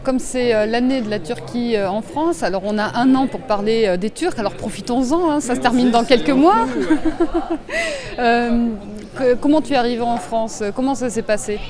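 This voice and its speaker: A woman's voice: a little high, normal speed. A woman interviewer asking questions outdoors, chatty and light. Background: crowd noise.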